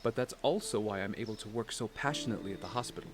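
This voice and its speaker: An actor playing a loving, proud father in an audio drama, speaking gently and reassuringly with affection. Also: fairly low pitch, racing, close to an everyday voice. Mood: tender, reassuring.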